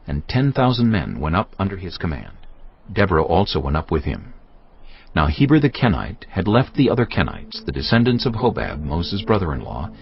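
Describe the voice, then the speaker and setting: A man narrating scripture as a dramatised story, solemn and measured in his delivery. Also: low-pitched, slightly rough. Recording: dull audio with music behind. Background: music.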